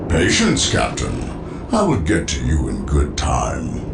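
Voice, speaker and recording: A deep, sardonic villain warlord from a video game, his voice ringing with roomy echo. He taunts in a haughty, theatrical manner, swooping in pitch over music. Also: huge pitch swings, unhurried, vocal fry, heavily acted. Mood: mocking. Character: sardonic heretic warlord, smug and menacing villain. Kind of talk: dramatic video game cutscene acting.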